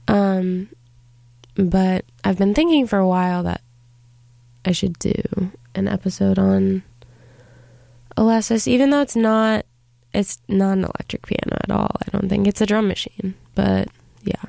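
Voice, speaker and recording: A woman's voice: mid-range voice, measured pace, gravelly, pauses often, warm tone, no echo. A woman hosting a college radio show from a studio, talking casually with many fillers while congested from a cold. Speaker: radio host. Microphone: studio microphone.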